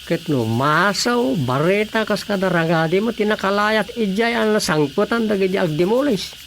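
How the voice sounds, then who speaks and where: An officious village councilman with a Filipino accent in a radio comedy drama. He is meddling and speaks in a high-pitched male voice. Faint background noise sits behind him. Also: lively intonation, moderate pace, a bit bright. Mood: reporting.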